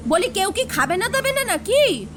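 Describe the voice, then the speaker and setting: An actress playing a domineering, sharp-tongued elder sister-in-law in an audio drama, scolding and quarrelsome. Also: female voice, extremely high pitch, very animated, normal speed, background noise. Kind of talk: Bengali audio drama acting.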